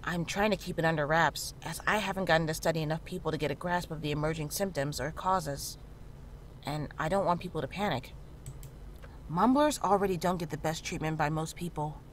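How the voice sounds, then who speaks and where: A woman playing a calm, frank town healer in a horror visual novel, speaking in a measured, reassuring way. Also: sits low, lightly acted. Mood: serious, confiding. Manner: measured, matter-of-fact, reassuring. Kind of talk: visual novel character dialogue. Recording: clearly audible background noise, ambience.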